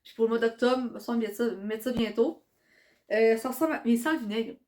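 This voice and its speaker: A young woman with a Quebec French accent, chatting excitedly in a casual vlog while congested from a cold. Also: on the high side, fairly quick. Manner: chatty and excitable, many fillers. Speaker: vlogger.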